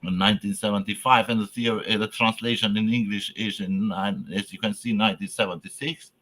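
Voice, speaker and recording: Albanian-speaking man giving a halting academic lecture. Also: lowish voice, fairly even intonation, fairly bright tone. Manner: halting, searching for words, frequent fillers and restarts.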